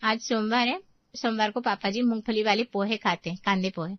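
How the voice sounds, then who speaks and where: Rival daughter-in-law played by a woman: pointed, indignant, fairly high voice, slightly dull dry recording. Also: fairly even intonation, fairly quick, no echo, lightly acted. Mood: eager. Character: competitive, indignant daughter-in-law eager to serve the family. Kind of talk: dramatised moral family story.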